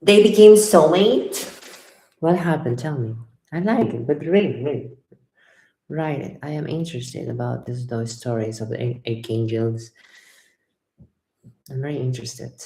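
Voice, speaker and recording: A woman's voice: rumbling low, measured pace, long pauses, mellow. A woman from Ecuador, a tarot reader chatting with viewers on a live stream, reading their comments aloud and reacting, often repeating her own phrases. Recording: echoey room.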